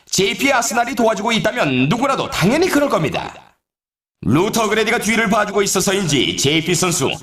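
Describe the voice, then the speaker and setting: A man's voice: sits high, a bit bright. A man playing an upbeat snowboard race announcer in a video game, with a dramatic, showy commentary delivery. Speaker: sports announcer. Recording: echoey, distant sound.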